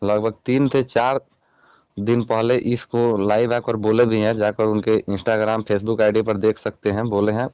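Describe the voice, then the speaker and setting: A Bihari man with a low, clear voice presses someone over the phone as a channel reporter. He is insistent and scolding, and the call sounds dull and dry, with little room sound. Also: darkish tone, dry recording. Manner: insistent, admonishing. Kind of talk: confrontational phone interview. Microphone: recorded phone call.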